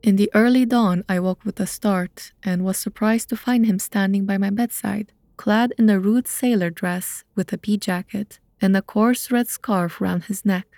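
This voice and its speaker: A woman narrating a scripted detective story in a measured, formal storytelling manner. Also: mid-range voice, steady tone, a little mellow, no echo, clean studio sound.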